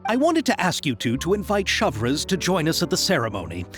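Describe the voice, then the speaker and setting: An actor playing a modest, earnest adult film director who politely shares credit. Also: male voice, a little high, huge pitch swings, a little fast, a bit bright. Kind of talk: video game voice acting. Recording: game audio with music.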